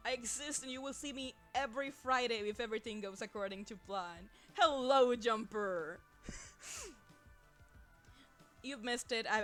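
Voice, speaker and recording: A woman's voice: fairly high pitch, fairly bright tone. A young woman whose first language is Spanish, chatting casually on a livestream, rambling and laughing often into a desk microphone. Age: young adult. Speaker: streamer.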